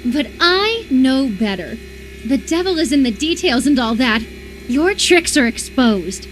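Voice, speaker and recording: Horror game role: obsessive young woman captor, high-pitched, sweetly coaxing yet volatile, music underneath. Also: very animated, unhurried. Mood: eerie, accusing. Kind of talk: dramatic visual novel horror game acting.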